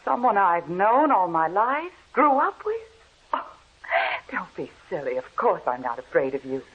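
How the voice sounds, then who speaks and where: An actress playing a proud, ambitious young stage star torn by old love, in theatrical old-time radio style. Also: female voice, fairly low pitch, very animated, a little slow, husky, exaggerated voice. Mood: coy, wistful. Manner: theatrical, melodramatic delivery. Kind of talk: 1940s radio acting. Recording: muffled old radio horror recording.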